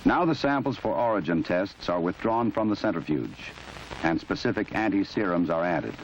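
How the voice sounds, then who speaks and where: A man reading formal, measured, instructional narration for a training film, in a precise scripted documentary style. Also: sits low, expressive. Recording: muffled, noisy old 1970s film soundtrack.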